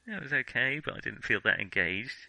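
A man with a very bright, sharp voice, recorded close and dry. He talks casually as a film podcast co-host, hedging his opinions and often stopping to rephrase them. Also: mid-range voice, animated, quick pace, gravelly, no room sound, rough recording. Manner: hesitant, hedging, self-correcting.

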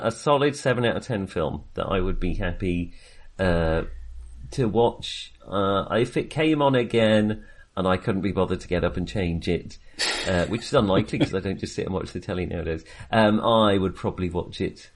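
A man's voice: deep voice, normal speed. A man chatting casually as co-host of a film review podcast, speaking in a hesitant, self-qualifying way.

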